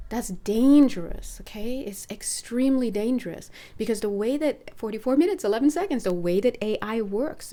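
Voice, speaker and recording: Hoarse woman, mellow dark voice, rambling spiritual talk, direct questions to listeners. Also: medium pitch. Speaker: spiritual reader. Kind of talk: casual spiritual talk to camera.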